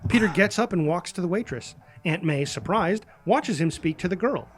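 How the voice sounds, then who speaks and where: A man's voice: high voice, animated, moderate pace, soft background music. A man narrating a screenplay, reading out scene headings and action as a scripted narrator. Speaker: narrator reading stage directions.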